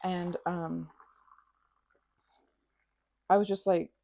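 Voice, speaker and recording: A woman doing an ASMR best-friend roleplay, chatting in a rambling, scattered way with playful, childlike excitement. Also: fairly low pitch, drawn-out words, vocal fry. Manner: rambling, scattered, jumping between asides.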